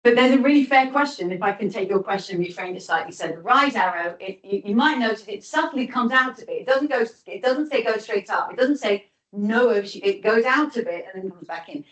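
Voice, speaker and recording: A woman's voice: medium pitch, expressive, fairly quick, few pauses. A woman giving a guest lecture and answering audience questions, thoughtful, often restarting and reframing as she goes. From United Kingdom. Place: lecture hall. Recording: echoey lecture hall, mic from far off.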